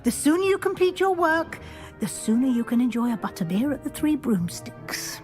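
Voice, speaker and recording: A woman playing a brisk, watchful professor at a wizard school in a video game, speaking formally and matter-of-factly. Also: sits high, very animated, a little mellow, faint music behind. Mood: brisk, cautioning. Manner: formal, crisp, matter-of-fact. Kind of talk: scripted video game acting.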